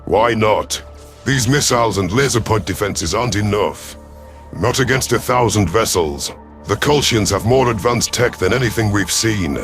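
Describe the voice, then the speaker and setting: A man voicing a gruff, proud alien chief hunter who commands a fleet, growling and impatient, in a first-person sci-fi audio drama. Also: moderate pace, a bit bright, soft background music, lightly acted. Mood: snarling, impatient. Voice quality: low, growling.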